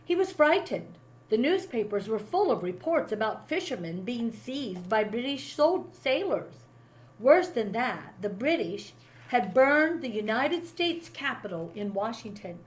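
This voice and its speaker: A woman with a fairly high voice reads a story aloud in the manner of a teacher. She narrates expressively, leaning on the dramatic words. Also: sing-song, a little slow, poor audio. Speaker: teacher reading a picture book to a class.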